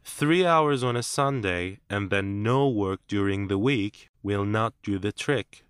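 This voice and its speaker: A man reading a scripted listening-test script in a measured, clear way, as narrator and interviewee. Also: fairly low pitch, fairly bright tone, no room sound, studio quality.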